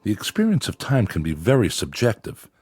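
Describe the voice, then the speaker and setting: A man playing a calm, skeptical psychiatrist in a radio drama, speaking in a measured, clinical, reassuring manner. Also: fairly low pitch, husky, exaggerated voice.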